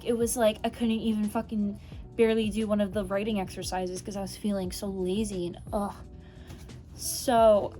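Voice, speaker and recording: Expressive young woman over background music. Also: on the high side. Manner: expressive, animated, swearing, rambling. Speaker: book club vlogger. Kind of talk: casual chat.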